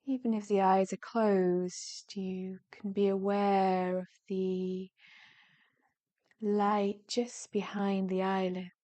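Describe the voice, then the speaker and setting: A woman leading a guided yoga nidra relaxation in a gentle, soothing manner, with soft phrases that turn breathy at the ends and long pauses between them. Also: medium pitch, flat delivery.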